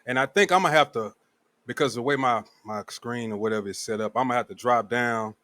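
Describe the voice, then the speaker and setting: A man's voice: mid-range voice, fairly quick, bright, forceful tone, dry recording. A man with an American accent hosting a casual show, talking in a laid-back, slangy way. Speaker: show host. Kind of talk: casual online panel show talk.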